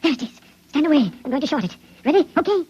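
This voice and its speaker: A man's voice: extremely high pitch, sing-song, fast talker. A man playing an anxious five-inch-tall shrunken man in a 1950s radio drama, urgent and clipped, his voice artificially raised to sound miniature. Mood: urgent, frightened. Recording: dull, noisy 1950s radio recording.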